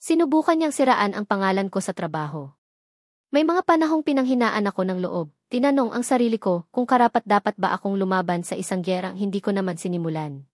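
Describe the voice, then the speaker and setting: Female narrator for scripted romance fiction: mid-pitched, dramatic storytelling, close dry recording. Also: expressive, brisk, dry recording.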